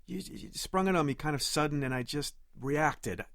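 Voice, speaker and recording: A man's voice: a little high, moderate pace, a bit bright, no room sound, clean studio sound. An actor playing a defensive, immature boyfriend in his thirties in an audio drama, emotional and indignant. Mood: defensive, pleading. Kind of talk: naturalistic audio drama acting.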